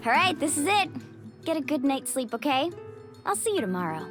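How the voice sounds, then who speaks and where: A woman's voice: high-pitched, very animated, a little fast, over loud music. A woman playing an upbeat, confident, friendly young woman in a video game, with a breezy, encouraging way of talking.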